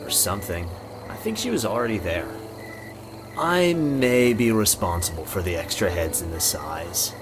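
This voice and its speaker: A man's voice: low-pitched, big pitch swings. A man voicing a friendly, awkward young ghost in a visual novel, rambling and correcting himself in an earnest way. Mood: casual. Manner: halting, rambling, self-correcting. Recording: music and night insects behind. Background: music, crickets and frogs.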